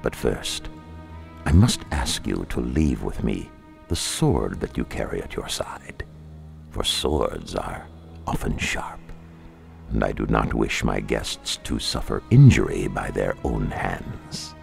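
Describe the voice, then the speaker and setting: Man voicing a silky, sinister king, extremely deep. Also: lively intonation, creaky, a little mellow, soft background music, heavily acted. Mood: silky menace. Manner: slow, silky, falsely polite. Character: sinister tall island king, menacingly courteous and sly.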